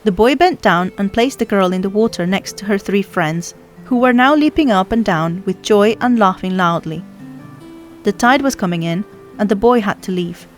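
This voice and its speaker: A woman's voice: mid-range voice, animated, moderate pace. A woman reading a children's story aloud as scripted audiobook narration. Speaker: audiobook reader. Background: background music.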